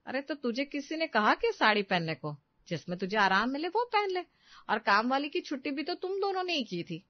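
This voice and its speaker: A woman's voice: high-pitched, big pitch swings, a little fast, no echo, dull audio, voice pushed up. A woman voicing an older Indian mother-in-law, fond but fretful, exclaiming and questioning in a family story. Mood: exasperated. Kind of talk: Hindi family story acting.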